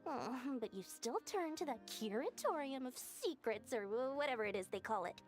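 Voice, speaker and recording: A tiny, scheming female shopkeeper from a fantasy game. The voice is extremely high and swoops with lively, melodramatic flourishes, coy and wheedling, over game music. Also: normal speed. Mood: huffy, aggrieved. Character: theatrical, wheedling, shrewd small female merchant in a fantasy game. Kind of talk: video game character acting.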